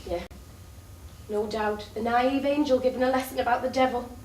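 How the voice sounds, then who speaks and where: Actress as a bitter jealous lover, distant and echoey. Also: female voice, fairly high pitch, fairly even intonation, normal speed, a little mellow, natural voice. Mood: bitter, pressing. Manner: probing, accusatory, rhetorical. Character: bitter, jealous live-in lover of an addict, confrontational. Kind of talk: theatrical stage play acting. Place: theatre stage, recorded from far off. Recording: distant echoey stage recording, faint noise.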